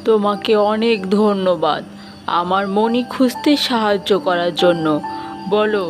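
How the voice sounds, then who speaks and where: A woman voicing a mysterious female spirit from the underworld in a cartoon fairy tale, commanding and deliberate, stern yet benevolent. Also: medium pitch, lightly acted. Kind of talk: animated fairy tale dialogue. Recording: voice over light background music.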